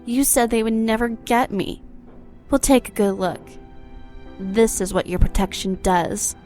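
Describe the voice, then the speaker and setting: A woman's voice: a little high, measured pace, a little mellow, faint music behind, close to an everyday voice. Woman playing a cold, mocking vampire wife. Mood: bitter, accusing. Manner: taunting, accusing. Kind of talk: audio drama acting.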